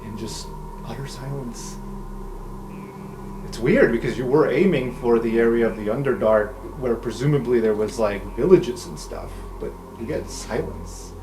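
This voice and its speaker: A man's voice: medium pitch, moderate pace, noisy background. A man improvising narration as the game master of a tabletop roleplaying game. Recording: roomy, with music under the voice. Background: music.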